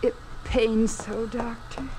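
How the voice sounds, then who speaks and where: Meek, suffering female patient, 1950s radio: drawn-out delivery, highish pitch, vintage noise. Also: little pitch movement. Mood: pained. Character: frightened, suffering woman patient, faint and meek. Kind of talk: 1950s radio drama acting. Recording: noisy old 1950s radio recording.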